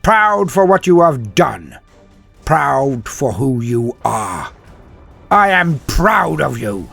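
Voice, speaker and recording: A man's voice: mid-range voice, sing-song, slow pace, creaky, a bit bright, husky, soft background music, voice pushed up, heavily acted. A man voicing a proud old military commander giving a stirring, emphatic speech to his troops in a dramatic war story. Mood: proud, rousing. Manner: stirring, emphatic battlefield oratory.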